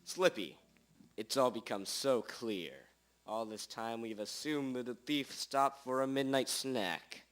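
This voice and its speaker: A man's voice: takes it slowly, fairly bright tone, soft background music. A young-sounding amateur actor, a man playing an impatient, self-important private detective with formal, theatrical delivery in a radio drama. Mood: deducing. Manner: formal, theatrical interrogating, condescending to sidekick. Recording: low-quality amateur recording.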